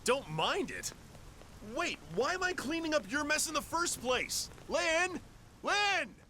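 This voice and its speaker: A man's voice: extremely high pitch, very animated, slow, pushed and bright, slight background noise, full character voice. A man playing a rugged, exasperated test pilot in a video game, indignant and emphatic. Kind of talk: video game cutscene acting.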